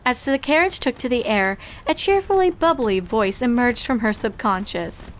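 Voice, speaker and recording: A woman's voice: on the high side, animated, moderate pace. A woman narrator reading a scripted story aloud. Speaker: fan fiction narrator.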